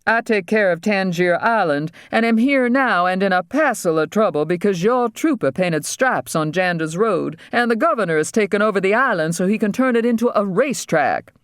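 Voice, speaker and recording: A narrator as a melodramatic male dentist, raised pitch. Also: expressive, normal speed, hardly any pauses, clean tone, no room sound, studio quality, lightly acted. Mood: urgent. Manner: urgent, formal, overdramatic. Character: male mainland dentist, scheming, melodramatic hostage.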